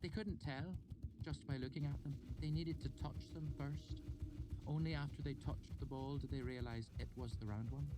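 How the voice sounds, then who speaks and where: A man voicing a confident young woman student who tells her fact in a measured way, pausing for effect. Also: mid-range voice, steady tone, pitched above the performer's own voice, lightly acted, poor audio. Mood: earnest. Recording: music playing under the voice.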